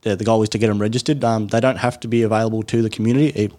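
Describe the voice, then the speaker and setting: A man's voice: fairly low pitch, fairly even intonation, racing, dark tone. A man with an Australian accent, a paramedic coordinator explaining things as a guest in an informal interview.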